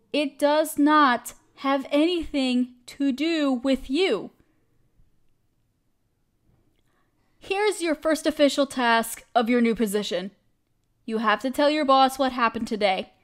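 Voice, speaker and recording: A woman playing a caring, protective best friend in a comforting audio roleplay, speaking earnestly and reassuringly, straight to the listener. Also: high voice.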